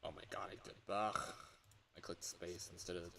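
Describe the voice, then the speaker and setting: A man's voice: deep voice, flat delivery, moderate pace, vocal fry, fairly bright tone. A tired man chatting casually on a livestream, grumbling and swearing at himself as he records voice lines. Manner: grumbling, self-critical, sweary. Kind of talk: casual livestream chat, self-commentary.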